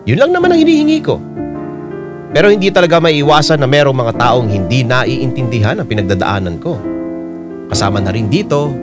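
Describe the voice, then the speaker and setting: Conflicted Filipino man confessing, acted, over loud music. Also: mid-range voice, big pitch swings, brisk, lightly acted. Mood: troubled, reflective. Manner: confessional, reflective. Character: troubled, conflicted young Filipino husband confessing. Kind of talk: first-person radio drama narration. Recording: loud music under studio narration.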